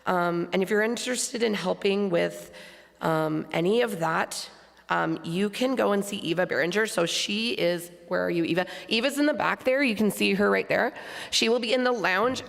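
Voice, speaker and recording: A hoarse woman giving informal, somewhat halting church announcements as a board member. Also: medium pitch. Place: large church sanctuary. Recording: long hall reverb from church sound system.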